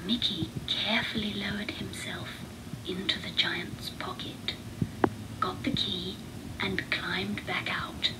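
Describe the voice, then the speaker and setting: A woman narrating a children's read-along storybook with deliberate, expressive phrasing. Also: mid-range voice, whispered, poor audio.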